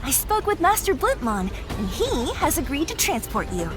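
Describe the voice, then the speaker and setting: High, swooping, perky creature girl. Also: normal speed, music in the background. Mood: confiding. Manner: bubbly, theatrical, expressive. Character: perky, outgoing female Digimon, a helpful moderate Titan. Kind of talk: English video game voice acting.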